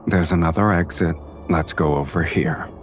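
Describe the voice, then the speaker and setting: A man playing a suave, teasing crime boss in a romance game, speaking in an unhurried, amused way. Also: sits low, faint music behind, natural voice. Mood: calm, assured.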